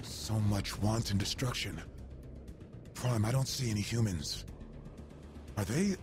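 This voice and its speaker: Man playing a proud, low-voiced robot samurai. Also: measured pace, lightly acted. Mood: brooding. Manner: formal, proud, clipped phrasing. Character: honorable, stern male robot samurai warrior. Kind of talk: dramatic video game voice acting. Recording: clear music behind the voice.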